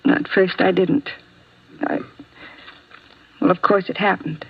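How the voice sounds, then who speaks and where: A woman's voice: fairly low pitch, steady tone, slow pace, creaky, breathy edge, exaggerated voice. A woman playing a doctor's weary, loyal office assistant, hesitant and guarded, in 1950s radio drama style. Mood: weary, troubled. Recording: dull old radio recording.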